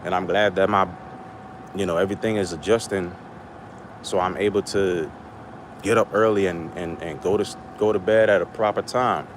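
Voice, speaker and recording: A middle-aged Trinidadian man talks on a livestream in a blunt, rambling way, swearing as he goes. His voice sits a little low. The street noise of an outdoor spot stays clearly audible behind him. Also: fairly even intonation, moderate pace. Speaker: livestream host. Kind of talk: casual livestream rant.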